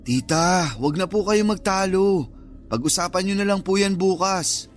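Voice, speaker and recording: Radio drama role: actor as easily spooked, caring young guy, high-pitched, streetwise casual speech, music behind. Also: normal speed, lightly acted. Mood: placating. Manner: colloquial, concerned. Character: nervous, well-meaning young male cousin, easily spooked.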